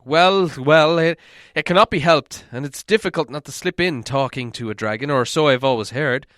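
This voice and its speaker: A man's voice: on the high side, moderate pace, a bit bright, no echo, clean studio sound, close to an everyday voice. A man voicing a kindly, sensible male dwarf in a storybook reading, consoling and emphatic. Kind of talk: storybook character voice in audiobook reading.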